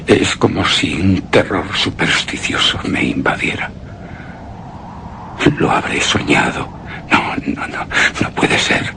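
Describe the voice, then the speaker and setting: An actor playing a tormented man keeping a deathwatch, speaking in a halting, haunted, dramatic way in a suspense and horror radio play. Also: extremely low pitch, gravelly, slight background noise, exaggerated voice. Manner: haunted, halting, dramatic, breaking into hushed whispers. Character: tormented male narrator keeping deathwatch over a shrouded woman.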